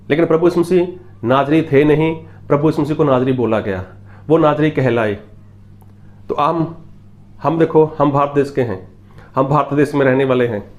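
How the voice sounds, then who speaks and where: Guy preaching, slightly high voice, keeps repeating his point, asks the crowd questions. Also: darkish tone. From Punjab, India. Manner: repetitive emphatic teaching, rhetorical questions. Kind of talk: Hindi sermon with Bible readings.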